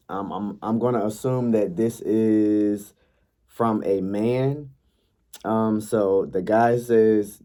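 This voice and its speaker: A young man vlogging in a laid-back, chatty way, sounding a bit congested and raspy from a night of yelling. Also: lowish voice. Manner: laid-back, chatty, frequent ums and likes. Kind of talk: casual vlog talk, reading posts aloud.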